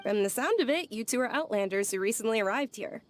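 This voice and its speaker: A woman playing a gentle, polite young follower of a deity in a video game, speaking with soft, hesitant courtesy. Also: fairly high pitch, lively intonation, fast, background noise, lightly acted. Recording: faint music behind the voice. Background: background music.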